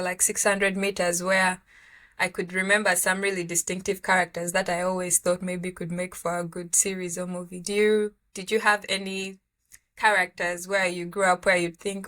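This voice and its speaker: A woman's voice: lowish voice, level tone, moderate pace, bright, forceful tone. A young woman hosting an interview, reading a polished guest introduction and asking questions in an upbeat way. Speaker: host. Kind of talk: interview host, scripted intro then chat. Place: room with bookshelves.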